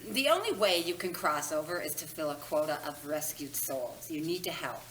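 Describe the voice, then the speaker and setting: A woman reading the part of a brisk, matter-of-fact ghost supervisor at a screenplay table read. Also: low voice, lively intonation, a bit bright, a little hiss or hum, low-quality recording. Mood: businesslike. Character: brisk deadpan female ghost supervisor at an afterlife agency.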